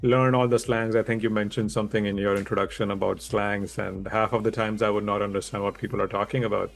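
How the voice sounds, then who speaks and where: Lowish-voiced man. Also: level tone, quick pace, gravelly. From India. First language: Hindi. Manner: candid, reflective, laughs easily. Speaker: guest, public speaking coach. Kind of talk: conversational interview.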